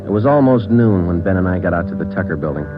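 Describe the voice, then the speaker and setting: An actor playing a terse, deadpan police sergeant, narrating and questioning in a 1940s radio drama. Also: male voice, deep voice, fast, over loud music. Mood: flat narration. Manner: deadpan, clipped. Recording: dull, noisy 1940s radio recording.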